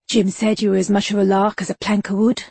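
A woman's voice: medium pitch, little pitch movement, quick pace, a little mellow, no room sound. A woman voicing Billy the Bolter, a shifty male Victorian street informant with a slangy voice, in an audiobook. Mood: joking. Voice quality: rough slangy street talk. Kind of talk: audiobook character voice.

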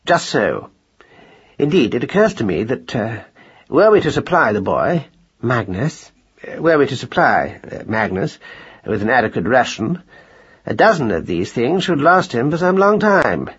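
Man voicing a pompous, husky old gentleman mouse. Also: medium pitch, big pitch swings, moderate pace, dull audio. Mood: pompous musing. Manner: pedantic, formal, hesitant with repeated afterthoughts. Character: pompous, long-winded elderly male mouse, a henpecked husband. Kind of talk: children's audiobook character voice.

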